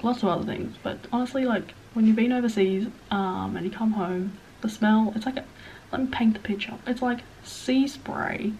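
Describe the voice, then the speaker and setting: A young Australian woman in her twenties chats to camera with a blocked-up, nasal voice from a cold. She rambles in a self-deprecating way and sometimes drops to a hushed whisper. A faint hiss sits under the recording. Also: medium pitch, low-quality recording. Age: 20s. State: sniffly, stuffed-up nose. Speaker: vlogger. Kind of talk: casual Q&A chat to camera.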